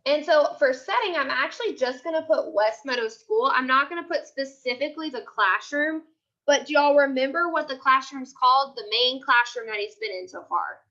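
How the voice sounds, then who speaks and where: A woman leads an interactive classroom read-aloud lesson. Her voice is high and bright, and she prompts her pupils by restating questions and answers. The recording is dull and lo-fi. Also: moderate pace.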